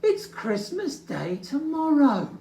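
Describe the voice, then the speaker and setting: An earnest little toy bear boy, put on by a storybook reader, eager and easily worried. The voice is raised and bounces widely in pitch, exclaiming and pleading in an echoey room. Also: sing-song, slow, gravelly, mellow, exaggerated voice. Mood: anxious. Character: small eager, anxious toy bear, male child. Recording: echoey room, distant from mic.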